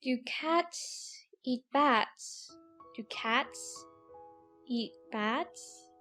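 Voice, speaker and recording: Woman voicing a little girl, very high voice, curious, polite, chatty, musing aloud, dramatized storybook, faint music. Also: very slow, sharp tone, exaggerated voice. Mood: drowsy. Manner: musing to herself, wondering aloud. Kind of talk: dramatized audiobook acting.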